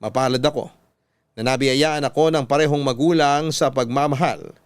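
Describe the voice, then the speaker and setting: A man's voice: medium pitch, normal speed, clean tone, dry recording. A man narrating a scripted, dramatic first-person love story as a radio storyteller. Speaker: narrator reading a letter sender's story. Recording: dry studio voice over music.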